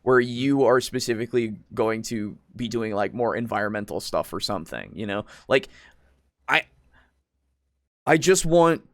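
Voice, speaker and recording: A man with an average-pitched voice talks casually as a podcast co-host. He explains at length in a rambling, loosely profane way. The sound is dry, with very little room in it. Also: lively intonation, moderate pace, no echo. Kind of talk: casual discussion of tabletop roleplaying.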